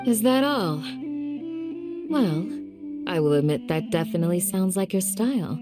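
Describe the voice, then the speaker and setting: A woman voicing a young village guardian in a game, calm, courteous and firm, with polite, measured phrasing. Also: on the low side, music in the background, natural voice. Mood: composed.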